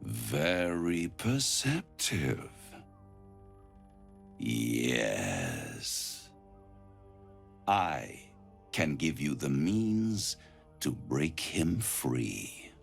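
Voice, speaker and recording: A man voicing a suave, ancient, scheming devil in a video game, silky and grandiose, savouring words with dramatic pauses. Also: rumbling low, huge pitch swings, creaky, soft background music, full character voice. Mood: persuasive, scornful. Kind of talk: theatrical video game villain monologue.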